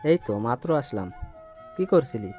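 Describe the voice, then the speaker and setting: A man's voice: medium pitch, sing-song, moderate pace, warm tone, lightly acted. A man playing a middle-aged, fatherly uncle and wealthy family head in an audio story, earnest and affectionate. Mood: tender, concerned. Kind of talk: Bengali audio story acting. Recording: music bed under voice.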